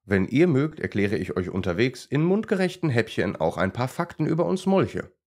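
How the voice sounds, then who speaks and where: A proud, enthusiastic crested newt from a children's tale, voiced by a man. He is chatty and keen to explain, with highly animated intonation. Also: normal speed.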